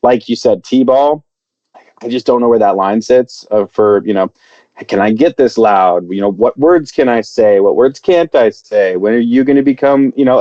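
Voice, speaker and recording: A man spins a long, meandering story on a podcast, circling back with fillers and half-finished starts. His voice is mellow and dark. The sound is dry and a little muffled. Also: mid-range voice, no echo. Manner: rambling, many fillers and restarts. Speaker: podcast co-host. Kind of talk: casual storytelling chat.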